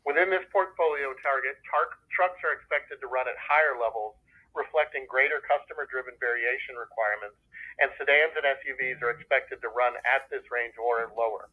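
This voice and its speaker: A man's voice: medium pitch, a little fast, narrow, tinny audio. A man speaking as a corporate finance executive, reading prepared earnings remarks in a formal, businesslike way over a telephone conference line. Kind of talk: scripted earnings call presentation. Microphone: telephone conference call.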